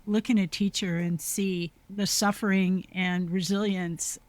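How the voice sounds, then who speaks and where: A woman's voice: medium pitch, little pitch movement, a little slow, dry recording. A woman hosting a podcast, speaking earnestly and reflectively in a casual conversation over a video call. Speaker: podcast host.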